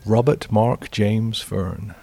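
An Englishman with an English accent looks back on his life in a low, level voice that barely rises or falls. His telling is measured and reflective, an unhurried personal account. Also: fairly even intonation, gravelly, mellow, soft background music. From England. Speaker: interviewee. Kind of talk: storytelling.

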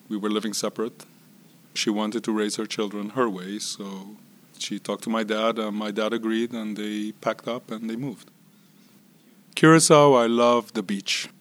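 A man in his sixties from Israel and Curaçao, telling his life story in an interview at an unhurried pace that trails off softly at phrase ends. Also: lowish voice. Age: 60s. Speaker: interview guest. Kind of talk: relaxed personal storytelling.

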